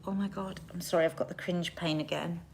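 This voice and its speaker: A woman's voice: fairly low pitch, steady tone, brisk, creaky, a little mellow, a little hiss or hum. A middle-aged woman hosting a casual livestream, chatting with viewers, often trailing off and restarting her sentences. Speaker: livestream host.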